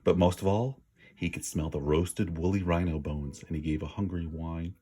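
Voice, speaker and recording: A man's voice: sits low, steady tone, normal speed, mellow, breathy edge. A man reading a children's story aloud with dramatic, emphatic word stress and an informal manner. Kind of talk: expressive read-aloud narration with casual asides.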